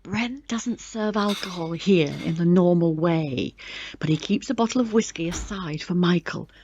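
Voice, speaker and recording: An actress playing a chatty, confiding club member in an audio drama, with a gossipy way of explaining things. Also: female voice, lowish voice, normal speed, darkish tone, close to an everyday voice. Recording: dull, noisy recording with clicks.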